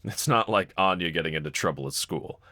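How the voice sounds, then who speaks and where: A man playing a gentle, encouraging husband in an intimate scripted roleplay audio, speaking in a considerate, reassuring manner. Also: low voice, fairly quick, dry recording, studio quality. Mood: tender, sincere. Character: gentle, encouraging adult husband, calm and caring. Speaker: character audio voice actor.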